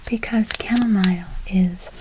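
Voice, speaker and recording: A woman's voice: mid-range voice, moderate pace, darkish tone, a little hiss or hum, poor audio. A woman whispering an ASMR roleplay as a soothing witch, lingering on repeated words and endearments. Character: soothing witch casting a good luck spell. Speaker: ASMR performer.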